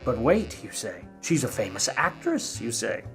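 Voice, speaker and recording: A man's voice: mid-range voice, very animated, normal speed, a bit bright, faint music behind. Male lead actor: sardonic Hollywood detective for a noir radio play, mid-range voice, faint musical backing. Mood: wry narration. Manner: wry, sardonic, hard-boiled. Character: world-weary, wisecracking male 1950s Hollywood private eye.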